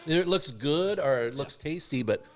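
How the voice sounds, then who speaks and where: A man's voice: on the high side, lively intonation, normal speed, soft background music. A man co-hosting a podcast, chatting casually and jokily in a rambling way while he tastes snacks. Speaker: podcast co-host.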